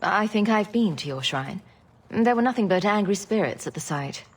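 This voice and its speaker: A woman playing a confident, sardonic adventurer with a wry, deadpan manner in a scripted fantasy game. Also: medium pitch, moderate pace, husky. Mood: dry, sardonic.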